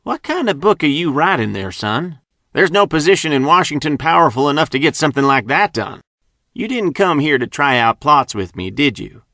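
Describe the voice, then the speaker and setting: A gruff older senator talks down to a younger man. A man voices him in a plain, dry audiobook read. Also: fairly high pitch, big pitch swings, normal speed, pushed and bright, no room sound, voice pushed up, full character voice. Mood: dismissive. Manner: gruff, patronizing.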